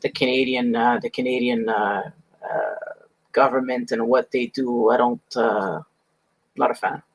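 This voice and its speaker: A man's voice: medium pitch, animated, measured pace, gravelly, husky, low-quality recording. A man in his thirties from Lebanon, a guest talking casually and easily, swearing now and then. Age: 30s. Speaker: guest, snake breeder. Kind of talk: casual chat.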